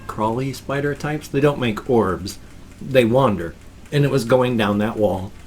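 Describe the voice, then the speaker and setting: A man's voice: medium pitch, measured pace, a little hiss or hum. A man in his fifties with a stuffed-up, wheezy voice, rambling casually to a webcam in a small room at home. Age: 50s. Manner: animated, gestures constantly with both hands. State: congested and wheezy. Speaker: vlogger. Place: small home room full of toys and collectibles. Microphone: webcam framing at desk.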